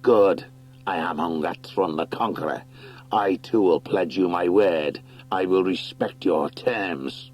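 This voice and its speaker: A man's voice: low-pitched, slow, a little mellow. Man acting a grandiose, scheming wildcat warlord. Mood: proud challenge. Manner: grandiose, smug. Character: gloating, scheming male wildcat warlord, a conqueror.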